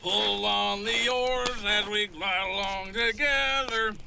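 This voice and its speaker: An actor playing a hearty, gruff, folksy hunter in the wilderness, in an audio horror drama. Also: male voice, high-pitched, fairly even intonation, slow pace, noisy background, full character voice. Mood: jolly singing. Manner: folksy, dismissive, breaks into song.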